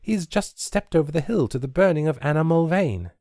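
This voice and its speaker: A man's voice: a little high, animated, a little fast, warm tone, dry recording, clean studio sound, voice pushed up. A man voicing a young tavern lass who pleads and breaks into sobs. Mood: timid.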